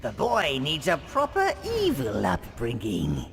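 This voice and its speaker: An actor playing a wizened, gleefully evil old minion advisor in a video game, with a croaky voice and a theatrical, menacing relish. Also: male voice, a little high, wildly swooping pitch, takes it slowly, a bit bright, heavily acted. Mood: sinister relish. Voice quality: gravelly, croaky. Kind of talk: video game character narration.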